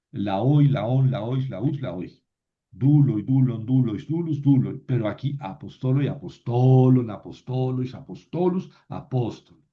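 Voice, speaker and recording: A man teaching a class, slowly reciting word forms and thinking aloud as he explains. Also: mid-range voice. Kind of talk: slow classroom lesson on grammar. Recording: dull lo-fi recording.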